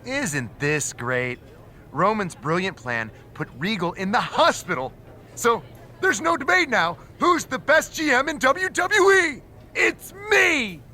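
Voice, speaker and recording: A man's voice: very high-pitched, sing-song, takes it slowly, sharp tone, slight background noise, full character voice. A man acting as a smug, gloating wrestling general manager in a game cutscene, boastful and sneering.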